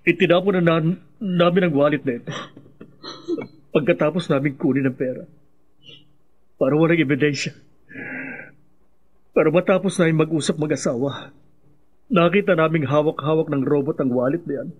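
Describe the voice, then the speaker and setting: A man with a Filipino accent acting a guilt-ridden husband and confessed killer in a radio drama, halting and heavy with remorse. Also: fairly high pitch, highs cut off, close to an everyday voice. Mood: remorseful.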